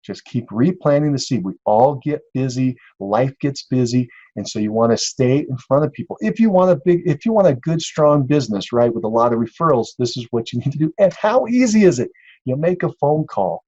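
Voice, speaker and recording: A man's voice: medium pitch, very animated, a little fast, mellow, husky, no echo, muffled recording. A man giving a casual, encouraging instructional talk as a sales coach, speaking conversationally and checking in with listeners. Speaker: real estate sales coach and podcast host.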